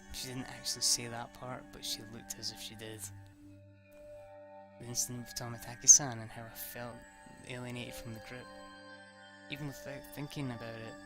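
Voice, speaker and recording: A person reading a visual novel aloud as a dramatic narration, sometimes stumbling over a line and rereading it. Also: mid-range voice. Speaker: let's play narrator.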